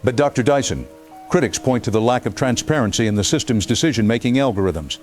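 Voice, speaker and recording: A man's voice: medium pitch, animated, quick pace, faint music behind, close to an everyday voice. A man playing a composed news anchor in an audio drama, putting probing questions in a formal broadcast manner. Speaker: news anchor interviewing a guest.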